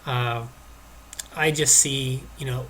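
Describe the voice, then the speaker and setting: A man's voice: mid-range voice, fairly even intonation, slow pace, pushed and bright, a little hiss or hum. A man with a stuffed-up nose from allergies, casually talking through stock charts on his screen with many hesitations and restarts. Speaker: stock market commentator.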